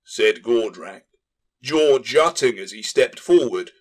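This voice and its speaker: A man's voice: mid-range voice, animated, takes it slowly, husky. A man reading scripted fantasy fiction as an audiobook narrator, in a dramatic storytelling style.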